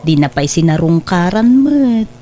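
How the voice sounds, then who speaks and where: A woman playing a gentle, caring middle-aged aunt. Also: lowish voice, sing-song, moderate pace, slight background noise. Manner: gentle and encouraging. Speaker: voice actor. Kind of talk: family radio drama.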